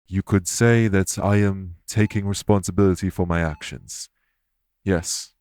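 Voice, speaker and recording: An actor playing a courteous, remorseful immortal elven king in an audio roleplay, speaking in formal, measured phrases. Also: low-pitched, fairly even intonation, a little mellow. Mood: calm, earnest. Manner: formal, measured, stately wording. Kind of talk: scripted audio roleplay acting.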